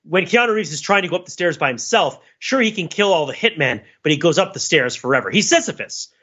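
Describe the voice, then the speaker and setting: A man's voice: on the high side, expressive, fast talker, pushed and bright, slightly rough, lo-fi sound. A man co-hosting a podcast, talking through a film in a casual, rambling, analytical way. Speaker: podcast co-host.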